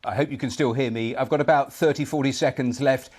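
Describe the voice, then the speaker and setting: A male news anchor at medium pitch interviews a guest. He is hesitant and lays questions out with small fillers. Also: fairly even intonation, quick pace, a little mellow. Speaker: news presenter.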